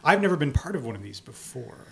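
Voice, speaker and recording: A man's voice: medium pitch, big pitch swings, fast, vocal fry, fairly bright tone, husky, poor audio. A man asking questions in a conversational interview.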